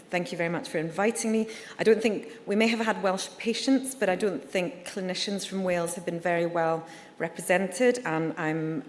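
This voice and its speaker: A middle-aged woman with a Scottish accent gives a conference presentation, her voice sitting low. She talks candidly, with plenty of ums and uhs. Her words carry with a lingering reverb and faint background noise. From Scotland. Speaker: liver surgeon giving a conference talk. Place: conference hall. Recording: reverberant hall.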